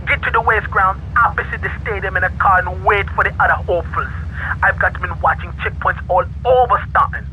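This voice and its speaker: Sharp, high-pitched Jamaican gang boss. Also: male voice, brisk, slight background noise, rough recording. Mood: swaggering. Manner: swaggering, slangy patois. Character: brash Jamaican gang boss giving orders by phone. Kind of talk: video game cutscene acting.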